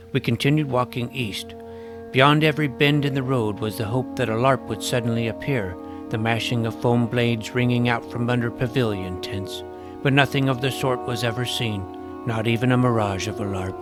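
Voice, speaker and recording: A man acts out a geeky, self-doubting boy hero of a fantasy audio drama. He is wry and earnest, speaking at a middling male pitch as he tells his own story. Music plays softly behind him. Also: close to an everyday voice. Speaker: narrator and lead character. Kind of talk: first-person scripted fantasy narration and dialogue.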